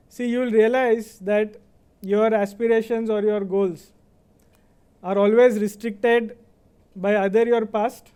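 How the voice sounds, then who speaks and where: A man's voice: high-pitched, dark tone, clear, resonant tone. A middle-aged Indian man whose first language is Kannada, giving a motivational convocation speech in Indian English. He talks conversationally and often checks in with "right?". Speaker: convocation speaker.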